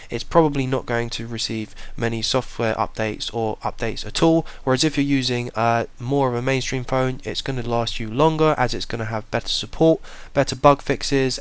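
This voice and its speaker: Smooth-voiced man reviewing a budget phone. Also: mid-range voice, no echo. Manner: plain, measured, explanatory. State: slight cold. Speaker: tech reviewer. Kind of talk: voice-over product review.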